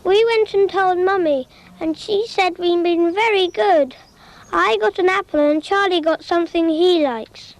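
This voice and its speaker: Innocent high-voiced young child. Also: unhurried. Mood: innocent, earnest. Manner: simple, earnest, childlike storytelling. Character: innocent young child telling a cautionary tale. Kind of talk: scripted cartoon public information film narration.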